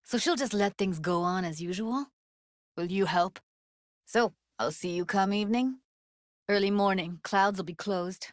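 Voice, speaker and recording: A woman's voice: medium pitch, a little slow, no echo, clean studio sound, natural voice. An actress voicing a tough, guarded young woman techie in a cyberpunk video game, speaking in casual, clipped slang with a wry edge. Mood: matter-of-fact. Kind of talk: naturalistic video game dialogue, isolated voice lines.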